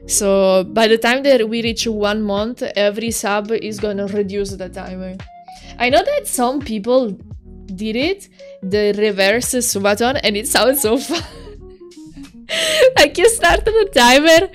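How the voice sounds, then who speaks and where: An Italian woman whose first language is Italian, speaking English with an Italian accent as a streamer on a casual livestream. Her voice sits a little on the high side, and she chats with lots of giggles, trailing off and restarting her sentences. Soft music plays quietly in the background. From Italy. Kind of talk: casual livestream chat while drawing.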